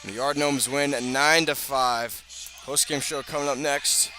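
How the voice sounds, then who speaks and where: A man's voice: mid-range voice, steady tone, a little slow, over music. A man doing live radio baseball play-by-play in short, clipped phrases. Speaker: sports play-by-play announcer.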